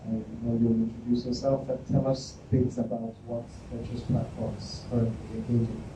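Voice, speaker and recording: A man moderating a panel, introducing speakers in a friendly, slightly halting way. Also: mid-range voice, flat delivery, background noise. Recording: echoey room, far from the mic.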